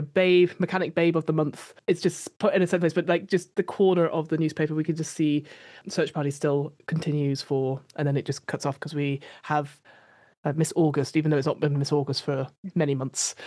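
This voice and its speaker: A woman's voice: low-pitched, fairly quick, a little mellow. A woman playing a tabletop roleplaying game, casually improvising descriptions of the scene.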